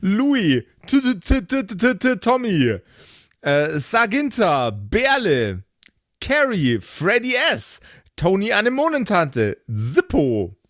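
Male host, casual name read-out, unhurried pace, playful intonation, close dry sound. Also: high voice, wildly swooping pitch, a bit bright, no room sound. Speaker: podcast host. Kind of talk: reading out a list of names, casual.

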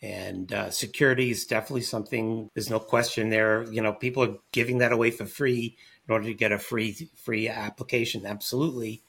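A man's voice: on the low side, steady tone, a little fast, vocal fry, hardly any pauses, a bit bright, slightly rough. A man who is a guest expert on a panel podcast, explaining his points in a confident way. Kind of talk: panel discussion.

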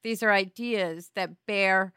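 Middle-aged woman, podcast co-host. Forceful bright tone, emphatic casual chat, dry recording. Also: mid-range voice, takes it slowly, clean tone, no room sound.

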